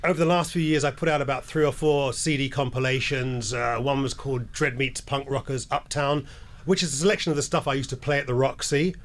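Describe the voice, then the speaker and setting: A man's voice: mid-range voice, steady tone, fairly quick. A jet-lagged older man from England, telling stories as a radio interview guest with a wry, laid-back manner.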